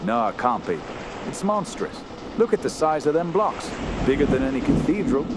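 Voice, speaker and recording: Man playing an excitable frightened sailor, swooping pitch, loud sea. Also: normal speed, very noisy, natural voice. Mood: awed, alarmed. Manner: excitable, colloquial. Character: awestruck, frightened rough-spoken sailor. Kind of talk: radio drama acting. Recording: loud sea and boat ambience behind.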